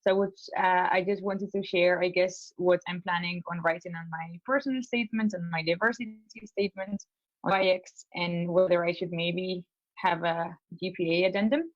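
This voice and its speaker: A woman's voice: fairly low pitch, fairly even intonation, moderate pace, no echo, lo-fi sound. Young woman from Spain, hesitant. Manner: hesitant, many fillers and restarts. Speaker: law school applicant. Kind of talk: casual consultation call.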